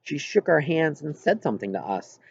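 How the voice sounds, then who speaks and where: A man reading a story aloud as an audiobook narrator, with an expressive scripted delivery. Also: fairly high pitch, moderate pace, gravelly, slightly rough, dull audio.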